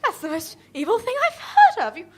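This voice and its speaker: Idealistic young-hearted sculptor heroine, acted by a woman: extremely high, swooping voice, exclamatory improvised radio comedy. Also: wildly swooping pitch, fairly quick, background noise, full character voice. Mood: incredulous. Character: excitable, idealistic female sculptor, righteous and romantic.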